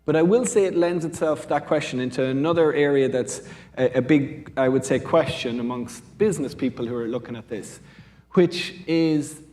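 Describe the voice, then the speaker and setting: A man's voice: mid-range voice, normal speed, darkish tone. A man with an Irish accent answering as a panel speaker from a business school, discursive and often rephrasing mid-sentence.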